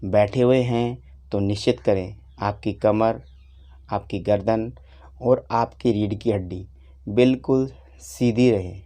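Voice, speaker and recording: Slow, low-voiced man guiding meditation. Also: fairly even intonation, warm tone. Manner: unhurried, instructive, with long pauses between short phrases. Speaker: meditation teacher. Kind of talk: guided meditation instruction.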